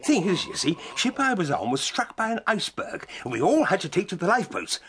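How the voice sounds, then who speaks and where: Blustering, high-pitched old English professor. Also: male voice, sing-song, brisk, fairly bright tone, a little hiss or hum. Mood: breezy, dramatic. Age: older. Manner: grandiose, old-fashioned, exclamatory. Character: eccentric, blustering old gentleman professor and fossil-collecting traveller. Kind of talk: full-cast radio dramatisation.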